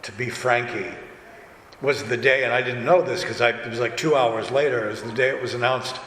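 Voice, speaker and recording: A man talking casually as a director and actor on a convention panel, chatty and halting, often restarting his thoughts. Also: medium pitch, steady tone, fairly bright tone. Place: large convention panel room. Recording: echoey hall, distant, noisy room. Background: room ambience and noise.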